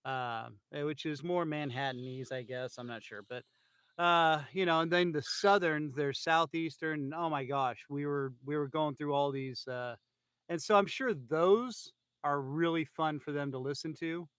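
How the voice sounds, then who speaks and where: A man's voice: a little high, moderate pace, a bit bright, clean tone, no room sound. A man from California hosting a casual podcast, rambling with lots of fillers and restarts, a bit loopy on pain pills, talking into an iPhone. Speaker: podcast host. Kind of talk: casual chat.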